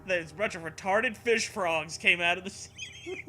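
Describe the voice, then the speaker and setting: A man's voice: high voice, measured pace, bright, forceful tone. A man hosting a comedy show, reading a horror story aloud with mocking, sweary commentary. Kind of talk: dramatic reading mixed with joking commentary. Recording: music behind the voice.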